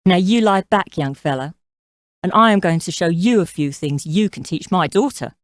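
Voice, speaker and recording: A woman's voice: fairly low pitch, animated, normal speed, dry recording, clean studio sound, natural voice. Woman acting a flirtatious, scheming mother-in-law. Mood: persuasive, assured. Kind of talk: audio drama character acting.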